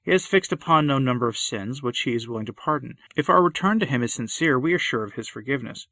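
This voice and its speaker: A man's voice: mid-range voice, lively intonation, fast talker, pushed and bright, no echo, studio quality. A man reading a sermon aloud in a solemn, impassioned, old-fashioned declamatory style. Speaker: preacher.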